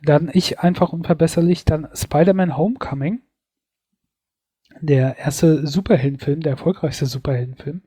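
Male podcast co-host, mellow tone, dry close sound, casual and chatty. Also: on the high side, normal speed, breathy edge, no room sound. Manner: chatty, hedging with fillers. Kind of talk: casual chat, year-in-review.

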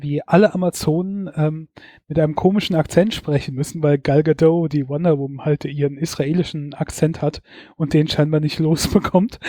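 Male podcast co-host, mellow tone, dry close sound, casual and chatty. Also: a little high, no room sound. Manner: chatty, hedging with fillers. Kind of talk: casual chat, year-in-review.

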